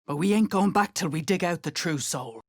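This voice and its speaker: A woman's voice: on the low side, lively intonation, moderate pace, a little mellow, dry recording, clean studio sound. A woman playing a menacing female cultist overseer in a video game, curt, threatening and full of contempt. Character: gruff, menacing female cultist slave-driver bossing diggers. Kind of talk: video game character acting.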